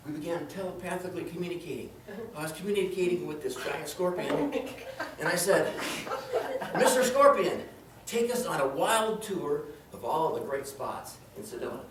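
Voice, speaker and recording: A man performs a comic tall tale with plenty of theatrical flourish. His delivery is highly animated, with wide swings in pitch. He is heard at a distance from the microphone in a very echoey room. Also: moderate pace, background noise. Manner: theatrical, dramatic storytelling with exclamations and acted-out quotes. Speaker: storyteller in a tall tales contest. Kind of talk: live comedic tall-tale storytelling to an audience. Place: indoor room before a live audience.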